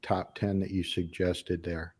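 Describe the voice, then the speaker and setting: A man's voice: low voice, little pitch movement, a little slow, a little mellow, husky. A man who is an auditioning actor, chatting casually on an online call. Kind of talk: casual chat. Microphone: headset and new mic on online call.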